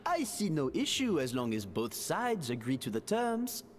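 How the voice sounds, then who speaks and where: A man voicing a pompous, theatrical gentleman in a video game, speaking grandly and teasingly with florid phrases. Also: on the high side, very animated, slow, slight background noise.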